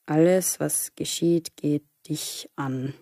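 A man performing a somber, brooding speaker who recites poetry in a radio play, with a husky, creaky voice. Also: a little high, fairly even intonation, takes it slowly, dark tone, no echo, clean studio sound. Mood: grave, brooding. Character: somber poetic prologue speaker, grave and brooding.

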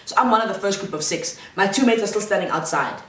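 A woman's voice: mid-range voice, lively intonation, fast, slight background noise. A young woman tells a chatty, slangy storytime vlog, seated in a large living room and filmed by a camera across the room. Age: young adult. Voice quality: loud voice. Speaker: vlogger. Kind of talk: casual storytime monologue. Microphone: fixed camera across the room. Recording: roomy echo from the mic's distance.

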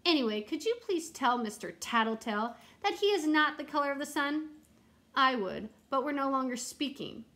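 A woman's voice: sits high, lively intonation, normal speed, sharp tone, full character voice. A woman voicing an indignant, boastful crayon in a storybook, insistent and self-important. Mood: smug.

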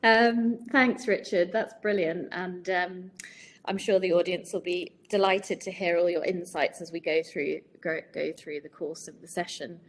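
A woman in her forties hosting a webinar over a video call, chatty and relaxed, with many ums. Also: lowish voice, measured pace. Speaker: webinar host. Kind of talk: casual webinar chat. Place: home room with shutters and plants. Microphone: video call laptop mic.

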